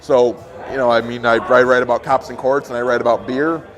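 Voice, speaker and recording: A man, a journalist, speaking in a relaxed, self-deprecating way in a documentary interview. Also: medium pitch, brisk, soft background music.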